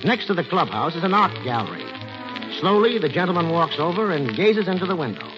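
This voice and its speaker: An actor as a solemn storyteller, giving scripted narration for a 1940s radio drama. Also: male voice, a little high, very animated, brisk. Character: solemn storyteller setting a radio mystery scene. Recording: dull old radio recording, music behind.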